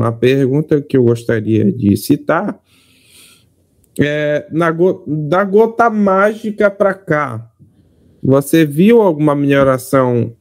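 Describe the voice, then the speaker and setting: Brazilian male podcast interviewer. Unhurried, halting delivery, soft dark tone. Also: a little high. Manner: halting, searching for words. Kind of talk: casual interview.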